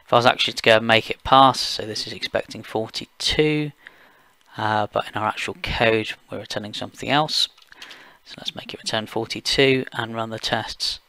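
A man from the UK talking through a software screencast step by step, plain and explanatory, with occasional fillers. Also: on the low side, fairly even intonation, normal speed, dry recording. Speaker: software engineer giving a tutorial. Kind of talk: screencast walkthrough voice-over.

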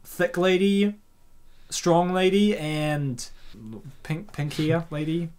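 A man's voice: a little high, takes it slowly, a little mellow, clear, resonant tone. A man hosting a casual comic reading with playful commentary and theatrical exclamations. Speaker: host.

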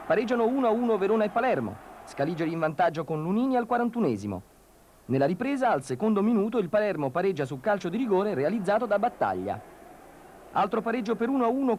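A man's voice: high-pitched, darkish tone. A man narrating a scripted round-up of football results as a sports reporter. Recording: TV broadcast with faint outdoor noise.

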